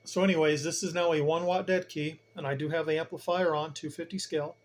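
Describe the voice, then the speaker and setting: A man's voice: a little high, fairly even intonation, fast. A man giving a casual hands-on demonstration as a hobbyist host, plain-spoken and colloquial, with emphatic turns of phrase.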